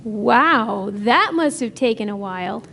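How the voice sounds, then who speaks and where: A caring, earnest aunt, acted by a woman with a higher-pitched voice and a natural dramatic delivery. She sounds unsure of herself, over faint background noise. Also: animated, measured pace, fairly bright tone. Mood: surprised, tender.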